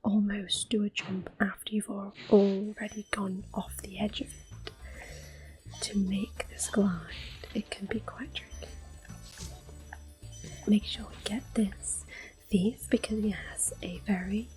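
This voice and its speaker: Slow, whispering ASMR woman gamer. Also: mid-range voice, steady tone, soft background music, poor audio. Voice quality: soft-spoken, breathy half-whisper. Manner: gentle, unhurried narration of each move. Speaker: game streamer, host. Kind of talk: ASMR gameplay commentary.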